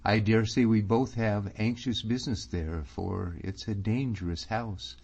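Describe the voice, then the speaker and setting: A man's voice: on the low side, steady tone, measured pace, vocal fry, darkish tone. A man reading an audiobook, voicing an earnest young man who pleads anxiously, close to his own voice. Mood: uneasy. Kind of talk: audiobook character voice. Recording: slightly muffled recording.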